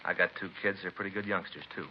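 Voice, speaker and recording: An actor playing a stern, indignant, world-weary police detective in a clipped hard-boiled style of 1950s radio drama. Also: male voice, low voice, flat delivery, fast, close to an everyday voice. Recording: dull, noisy vintage radio recording.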